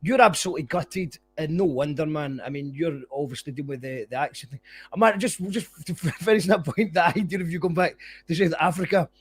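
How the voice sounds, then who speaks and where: A man's voice: a little high, fairly quick, husky. A Scottish man hosting a podcast, chatting casually and rambling with restarts. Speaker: podcast host. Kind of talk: casual interview chat.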